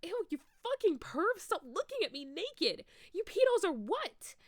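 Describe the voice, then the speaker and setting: A woman voicing a hot-tempered teenage goth vampire girl from fan fiction, in shrill, overwrought outbursts. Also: very animated, unhurried, no room sound, clean studio sound, full character voice. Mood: screaming outrage. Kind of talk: fan fiction read-aloud.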